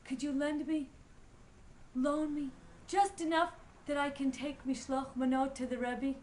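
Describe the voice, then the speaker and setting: A woman voicing a once-gloomy poor father, now overflowing with joy, in a told story, with earnest, drawn-out exclamations. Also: very high-pitched, flat delivery, darkish tone, a little hiss or hum. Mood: earnest pleading.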